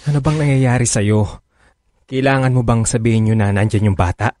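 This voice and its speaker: A man's voice: mid-range voice, brisk, darkish tone, no echo, studio quality, natural voice. Man acting defensive, pleading married lover. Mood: uneasy. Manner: pleading, placating, emotional. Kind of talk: Filipino radio drama acting.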